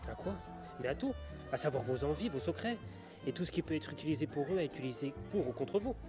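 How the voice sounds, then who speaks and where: An actor playing an earnest, insistent conspiracy theorist stranger in a bar, in an audio drama. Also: male voice, fairly high pitch, animated, fast talker, lightly acted. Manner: earnest, urgent explaining. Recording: music and bar chatter behind.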